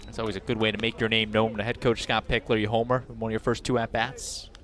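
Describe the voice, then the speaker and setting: A man with a fairly low voice works as a color commentator on baseball. He analyzes plays and players in a broadcast commentary style. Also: little pitch movement, fast, fairly bright tone.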